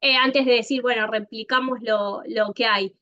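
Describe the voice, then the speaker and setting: Bright Argentine woman presenting, muffled stream. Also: fairly high pitch, steady tone, moderate pace. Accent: Argentine Spanish. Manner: conversational, many "eh" fillers, addresses the audience directly. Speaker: Salesforce consultant giving a conference talk. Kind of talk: online conference presentation.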